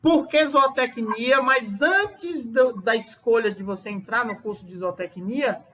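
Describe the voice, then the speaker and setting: A man's voice: extremely high pitch, measured pace, pushed and bright. A man from Bahia with a Bahian accent, hosting a podcast and asking questions in a chatty, easygoing way. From Bahia, Brazil. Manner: chatty, asks questions, thinks aloud. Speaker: podcast host. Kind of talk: casual interview.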